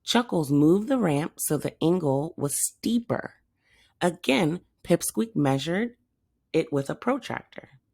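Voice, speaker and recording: A woman reading a children's story aloud as a teacher would, with expressive narration. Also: low voice, slow pace, vocal fry, husky, no room sound, studio quality.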